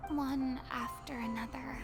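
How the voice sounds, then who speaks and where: Music plays behind a high, soft young female game character. She is vulnerable and full of regret, confiding earnestly and haltingly, with breath creeping into her words. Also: fairly even intonation. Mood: sorrowful, confessing. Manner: earnest, hesitant, confessional. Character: regretful young former-god actress, sad, self-blaming, vulnerable. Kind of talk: anime game voice acting.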